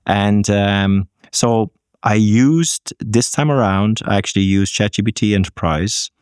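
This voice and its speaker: An Irish man speaking as a business guest in a podcast interview, measured and thinking aloud with frequent ums. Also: fairly low pitch, a little mellow, clean tone, no room sound, clean studio sound. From Ireland.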